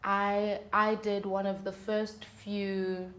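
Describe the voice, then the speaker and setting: A woman's voice: mid-range voice, level tone, slow, fairly bright tone. A woman, speaking as a musician guest in candid, conversational interview storytelling. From South Africa.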